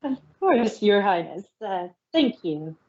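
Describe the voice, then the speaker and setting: A woman's voice: medium pitch, very animated, a little mellow, highs cut off. A woman playing a polite, hesitant mare who petitions royalty formally in an amateur fan fiction reading. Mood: uneasy. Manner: formal, halting, deferential.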